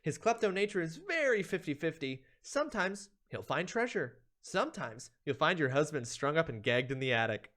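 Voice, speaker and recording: A male streamer with a slightly high voice reads out a humorous ranking as if it were drama. His pitch swings widely, and he leans on words with theatrical, mock-serious emphasis. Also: sing-song, moderate pace, few pauses, a bit bright. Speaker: streamer, video host.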